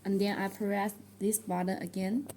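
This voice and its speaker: A woman who speaks English as a second language, presenting a product tutorial in a halting, step-by-step way. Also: medium pitch, level tone, dark tone, a little hiss or hum. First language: not English. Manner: halting, step-by-step delivery with non-native phrasing.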